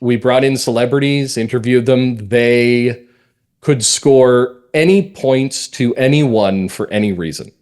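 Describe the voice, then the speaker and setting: A man's voice: medium pitch, measured pace, darkish tone. A man chatting casually as an interview guest, telling anecdotes about his creative work with enthusiasm. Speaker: podcast guest, audio drama writer and producer.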